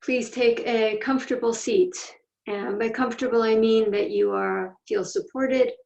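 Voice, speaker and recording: A woman teaching a guided meditation, speaking in a measured, deliberate way with pauses between phrases. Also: on the high side, fairly even intonation, creaky, darkish tone. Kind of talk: guided meditation, slow instruction. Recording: echoey room, distant mic, dull sound.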